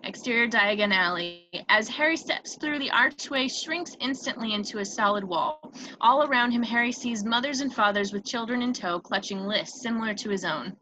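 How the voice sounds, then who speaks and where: A woman's voice: fairly high pitch, normal speed, pushed and bright. A woman reading scripted screenplay stage directions as narrator, on a dull, noisy home recording.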